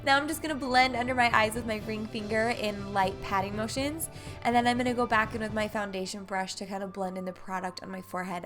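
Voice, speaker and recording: Young woman beauty vlogger, stuffy cold voice, bright and chatty tutorial read, light music bed. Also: a little high. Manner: chatty, upbeat product walkthrough. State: congested from a cold. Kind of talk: makeup tutorial voice-over. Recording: faint music underneath.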